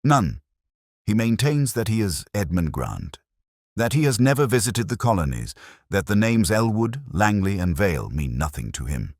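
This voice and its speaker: A man voicing a coolly logical, assured detective in an audiobook, speaking in measured, precise, formal phrases. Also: fairly low pitch, big pitch swings, a little mellow, no echo, studio quality, voice pushed up. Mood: grave, exacting.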